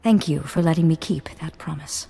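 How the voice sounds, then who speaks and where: A woman's voice: sits low, moderate pace, creaky, warm tone, husky, exaggerated voice. An actress playing a refined, flirtatious noblewoman in a video game cutscene, speaking with formal poise. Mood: wistful, composed. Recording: long hall reverb, music behind.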